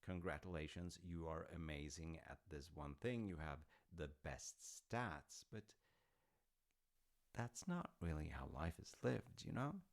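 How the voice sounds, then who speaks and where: Man acting as a slow, low-voiced, musing dad. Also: animated, creaky, exaggerated voice. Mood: musing, fond. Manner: musing, hesitant, conversational asides. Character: affectionate, proud, rambling dad retelling Norse myths. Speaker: audio roleplay voice actor. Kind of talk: intimate rambling storytelling roleplay.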